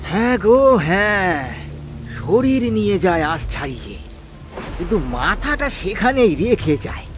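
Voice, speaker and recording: A man's voice: sits high, very animated, measured pace, slight background noise. A man voicing an anxious, grumbling village fish farmer in a horror cartoon, exclamatory and stammering, repeating his words. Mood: worried, alarmed. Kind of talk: Bengali horror cartoon dialogue.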